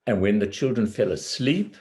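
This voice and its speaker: A man telling a fairy tale in a deliberate manner, pausing between phrases. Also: lowish voice, expressive, darkish tone. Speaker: amateur storyteller. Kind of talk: measured fairy tale storytelling.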